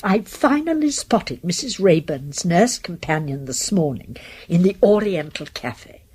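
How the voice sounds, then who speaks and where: An actress playing a genteel, crafty British spinster sleuth in a radio drama, with fussy, precise and effusive diction. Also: female voice, on the low side, slightly rough, full character voice.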